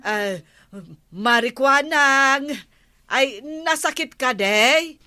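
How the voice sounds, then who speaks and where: A woman's voice: high-pitched, lively intonation, very slow, pushed and bright, no echo, studio quality, heavily acted. An actress playing a fussy, anxious village woman in an Ilocano radio comedy, hesitant and full of exclamations, with an Ilocano accent. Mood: flustered.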